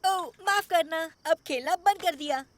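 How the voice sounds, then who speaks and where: A woman's voice: very high voice, normal speed, very noisy. A woman voicing a playful, earnest little boy superhero who exclaims proudly in a children's cartoon dub. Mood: friendly.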